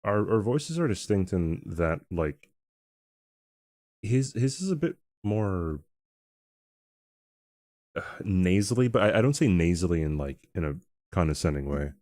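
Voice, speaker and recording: A young man with a very low voice that swings freely up and down as he chats with his stream audience. He talks in a relaxed, halting way and chuckles often. The sound is close and dry, with no room in it. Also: dry recording. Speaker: game streamer. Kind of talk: casual chat with a live stream audience.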